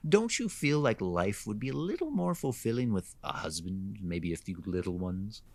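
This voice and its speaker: A man's voice: fairly low pitch, very animated, moderate pace, vocal fry, no room sound, exaggerated voice. Man playing haughty, prying rich homeowner, very swoopy. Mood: prying, condescending. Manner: hesitant fillers, haughty, insinuating. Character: condescending, prying, sexist wealthy male homeowner. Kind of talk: audio drama acting.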